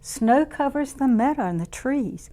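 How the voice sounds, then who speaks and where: A woman reading a picture book aloud to young children in an expressive, deliberate, sing-song way. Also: high-pitched. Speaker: children's story reader.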